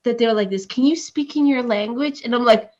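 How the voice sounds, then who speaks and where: A woman's voice: fairly high pitch, fairly quick, darkish tone, highs cut off. A young adult woman hosting a podcast interview, chatty and giggly, often repeating words as she talks. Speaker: podcast host. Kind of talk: casual interview chat.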